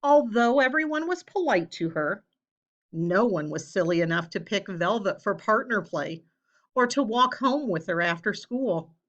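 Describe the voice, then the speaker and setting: A woman reads a picture book aloud for children. Her mid-pitched voice moves slowly and expressively, with deliberate pauses for emphasis. The recording is a little dull and lo-fi. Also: animated, a bit bright. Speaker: storybook reader. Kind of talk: children's read-aloud, scripted narration.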